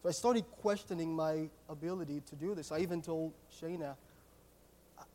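A nervous man with a Haitian accent, a native Haitian Creole speaker, giving a personal testimony sermon in an earnest, halting way, repeating words as he goes. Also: a little high, warm tone, a little hiss or hum. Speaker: guest preacher at a church. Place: church sanctuary, speaking to a congregation. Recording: echoey hall with long reverb.

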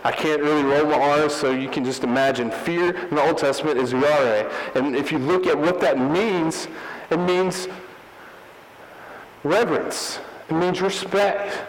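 A man's voice: fairly high pitch, measured pace, in a large hall, poor audio. A man preaching a teaching sermon, earnest and explanatory, often restarting his phrases. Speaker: pastor.

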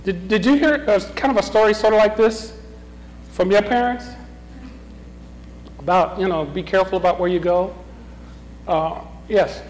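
Middle-aged man, high bouncy voice, runs a Bible class with questions and chuckles, long room reverb. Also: normal speed, pauses often, slight background noise. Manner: questioning, chuckling, restarts often. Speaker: Bible study leader. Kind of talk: leading a group Bible discussion.

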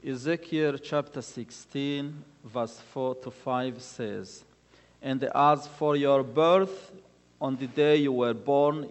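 A man with a Rwandan accent gives an earnest church testimony in deliberate, short, halting phrases. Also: medium pitch. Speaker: guest speaker giving testimony. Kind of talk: church testimony with scripture readings. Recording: reverberant hall with long echo.

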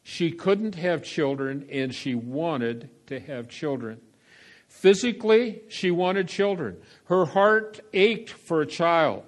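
An older male pastor delivering a folksy sermon, full of fillers and asides, swinging between quiet remarks and loud calls to the congregation. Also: on the high side, lively intonation, slow. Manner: folksy, meandering preaching with frequent fillers.

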